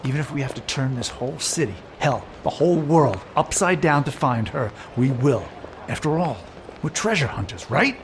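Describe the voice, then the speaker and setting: A man's voice: medium pitch, animated, moderate pace, slight background noise, natural voice. An actor playing a loyal, urgent male adventurer in a sci-fi audio drama, earnest and emphatic. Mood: reassuring, resolute.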